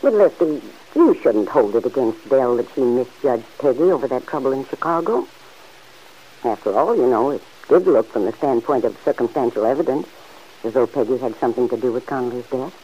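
A woman playing a kindly middle-aged farm aunt who gently chides the man in her home, in 1940s radio acting. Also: extremely low pitch, animated, breathy edge, exaggerated voice. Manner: gently chiding, motherly, quick to laugh. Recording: dull old radio recording.

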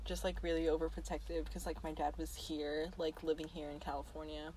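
A woman's voice: deep voice, little pitch movement, fairly quick, background noise. A young woman in a casual group chat, talking bluntly with casual swearing. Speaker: panelist.

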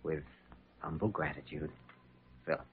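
An actor playing an earnest, conscientious surgeon in an old radio drama, husky and soft-spoken. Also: male voice, unhurried, over loud music. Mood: reading aloud. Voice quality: low, husky. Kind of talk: 1940s-50s radio drama acting. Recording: muffled old radio recording.

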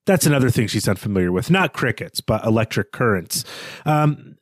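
A man of middling pitch discusses fiction as a podcast co-host. His delivery is casual and analytical, with a wry edge. He is recorded dry, without audible room. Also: big pitch swings, fairly quick, no echo. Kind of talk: literary discussion.

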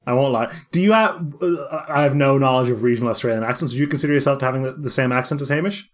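A man's voice: medium pitch, fast talker. A man co-hosting a podcast in a casual, eager way, often stumbling and repeating words. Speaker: podcast co-host. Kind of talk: casual chat.

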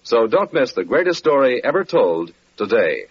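A man's voice: medium pitch, very animated, moderate pace, husky. A man with an American accent announcing on 1940s radio in a formal, promotional way, on a muffled old recording.

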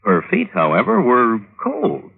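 A husky man narrates an old radio drama with deliberate, mock-solemn wryness. His intonation rises and falls expressively. The recording is a little muffled, with some music behind. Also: mid-range voice, wildly swooping pitch. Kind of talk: wry scripted old-time radio narration.

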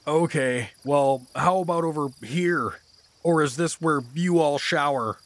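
A man's voice: fairly high pitch, unhurried, fairly bright tone. A man playing a bumbling, good-natured married everyman hero, hesitant and folksy, in a fantasy comedy audio drama. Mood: flustered, friendly.

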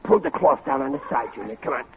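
A man's voice: on the high side, wildly swooping pitch, quick pace, creaky, exaggerated voice. An actor playing a hapless, nervous working-class husband and father in a 1940s radio comedy, stammering and folksy. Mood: panicky. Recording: dull, noisy old radio recording.

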